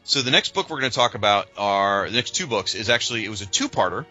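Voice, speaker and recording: A man's voice: lowish voice, animated, quick pace, bright, forceful tone, lo-fi sound, soft background music. A man co-hosting a podcast, talking in an informal, opinionated, chatty way as he discusses things from loose notes. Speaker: podcast co-host.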